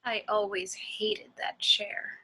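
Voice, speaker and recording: A woman's voice: medium pitch, level tone, slow pace, pushed and bright, whispered, rough recording. A woman playing a bitter, defiant warrior daughter in an amateur script read, with an emotional, accusing delivery.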